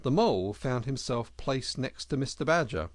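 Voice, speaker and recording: A man's voice: medium pitch, lively intonation, normal speed, no echo. A man narrating a children's classic as an audiobook, reading expressively.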